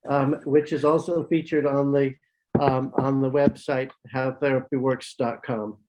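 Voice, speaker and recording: A man's voice: mid-range voice, flat delivery, measured pace, dark tone, rough recording. A psychiatrist, a man, answering questions thoughtfully over a video call, often repeating words as he thinks. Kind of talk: answering questions in an online talk.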